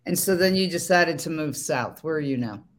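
A woman hosting an interview, asking questions in a halting way with frequent restarts. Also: low-pitched, lively intonation. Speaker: host. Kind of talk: interview host.

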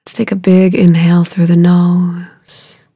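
A woman leading a guided meditation in a soothing, unhurried way, with a soft, breathy voice and long pauses between short phrases. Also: fairly low pitch, monotone, dry recording, studio quality.

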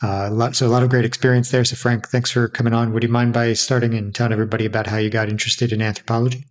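A man's voice: medium pitch, little pitch movement, racing. A man hosting a conversational interview, asking questions in an informal, roundabout way. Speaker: interview host.

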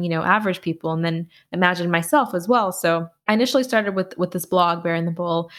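A young woman answering interview questions casually, telling animated stories with lots of "like" fillers. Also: on the low side. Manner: animated storyteller with frequent "like" fillers and laughs. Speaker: podcast host and finance blogger, interview guest.

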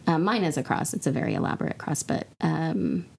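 A hoarse woman co-hosting a podcast, chatting casually and swearing now and then. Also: low-pitched, a little fast. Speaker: podcast co-host. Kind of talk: casual chat. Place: recording in Texas.

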